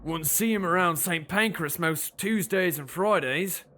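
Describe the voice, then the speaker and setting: A man playing a dim, cheerful, soft-hearted ex-policeman in an audio drama, blithely literal in manner. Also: high-pitched, measured pace. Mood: earnest. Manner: blithely literal, circular answers.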